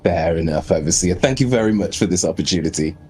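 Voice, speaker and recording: Man as Victor, mellow-voiced, nervous vault engineer. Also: sits low, expressive, fast. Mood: nervous, sheepish. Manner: hesitant, apologetic.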